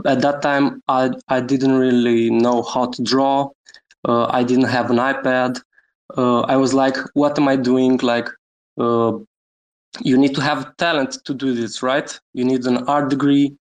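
A man from Romania, a guest on a live audio chat, talking about his project with many pauses, fillers and small laughs. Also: medium pitch, poor audio. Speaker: guest NFT creator. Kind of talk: casual chat on a Twitter space.

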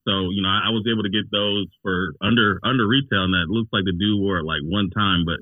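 A man with a low voice talks in a loose, casual podcast style, circling back to rephrase as he goes. The sound is dry and close, with a slightly muffled, lo-fi top end. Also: steady tone, fast talker, dry recording. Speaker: sneaker podcast co-host. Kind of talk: casual sneaker podcast chat.

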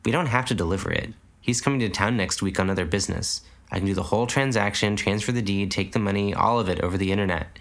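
A man voicing a sharp, impatient young woman who talks briskly and insistently. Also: lowish voice.

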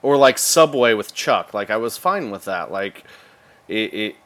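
A man with a fairly low voice talks casually, as one of the co-hosts on a gaming podcast. His delivery is conversational and informal. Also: expressive, unhurried, fairly bright tone. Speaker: video game podcast co-host. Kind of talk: casual chat.

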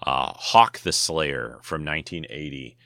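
Very deep-voiced man rambling casually. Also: measured pace, creaky, bright, forceful tone, no room sound, studio quality. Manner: rambling, many restarts and fillers. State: dry throat. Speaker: podcast host. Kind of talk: casual solo talk.

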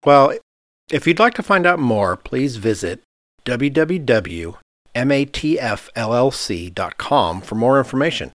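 A man's voice: medium pitch, expressive, slow, no room sound, studio quality. A man hosting an interview podcast in a conversational style. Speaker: podcast host.

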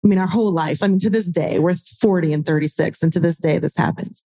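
A woman's voice: on the low side, quick pace, warm tone. An American woman in her forties speaking as a panel guest, emphatic and wry, repeating phrases for effect. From United States. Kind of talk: panel discussion.

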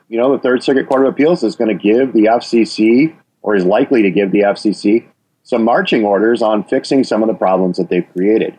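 A man's voice: on the low side, a little mellow, slightly rough, low-quality recording. A man from Wisconsin with a Wisconsin accent, talking as an interview guest and stressing his points with repeated phrases. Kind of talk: interview about radio policy.

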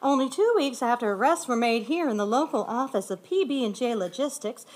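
A woman playing a brisk, serious television news anchor in an audio drama, reading formally. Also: fairly high pitch, expressive, darkish tone, natural voice.

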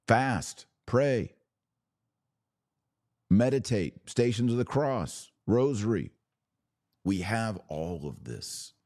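A deep-voiced American man speaks in a very dry acoustic. He delivers religious commentary as a podcast host, unscripted and impassioned. His delivery is preachy and emphatic, built on short, punchy phrases. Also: expressive, takes it slowly, long pauses, a little mellow, dry recording. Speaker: podcast host taking viewer questions.

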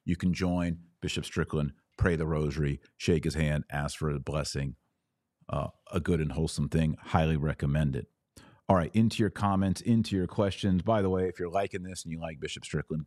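Deep-voiced man hosting a podcast. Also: a little mellow, no room sound, clean studio sound. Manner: conversational, direct, urging listeners. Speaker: podcast host. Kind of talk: casual live commentary, reading and answering viewer comments.

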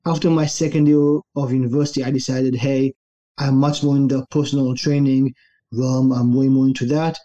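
A young adult man from Israel, a fitness coach telling his story in a relaxed, easygoing interview. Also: mid-range voice, moderate pace, highs cut off. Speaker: guest, online fitness coach.

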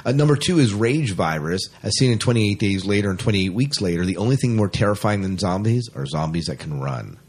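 A man's voice: on the low side, expressive, brisk, few pauses, darkish tone, husky. A man hosting a casual sci-fi podcast, chatting in an enthusiastic way. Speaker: podcast host.

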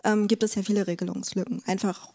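A woman speaks as an expert guest in a conversational interview. Her voice is mellow and relaxed at a medium pitch, and she explains step by step, pausing to search for the right word. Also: vocal fry. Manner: hesitant, searching for words, explanatory.

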